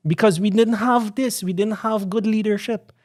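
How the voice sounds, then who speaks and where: A man with a Guyanese accent chats as a podcast guest, earnest and proud. His voice sits a little high. The sound is close and dry, with no room around it. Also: steady tone, normal speed, darkish tone, no room sound. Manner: proud, earnest, laughs often. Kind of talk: casual interview chat. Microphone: studio podcast mic.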